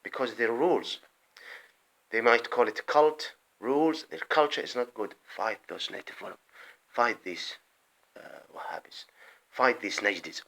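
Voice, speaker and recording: A man delivering an impassioned, rambling political monologue, breaking off mid-sentence and swinging between loud outbursts and muttered asides. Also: medium pitch, a little slow, gravelly. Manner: rambling, emphatic, often breaks off and restarts. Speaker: vlogger.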